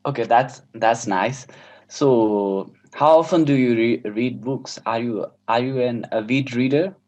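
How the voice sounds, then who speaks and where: A man's voice: medium pitch, unhurried, a little mellow. A man acting as mock examiner, asking interview questions hesitantly, often restarting them. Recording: dull lo-fi call audio.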